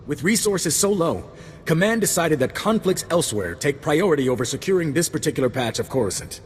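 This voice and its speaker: Man acting a higher-voiced, dutiful young corporal. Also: lively intonation, brisk, close to an everyday voice. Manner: crisp military deference. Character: dutiful, eager junior male army corporal. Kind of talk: video game voice acting, briefing. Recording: long reverb with faint noise.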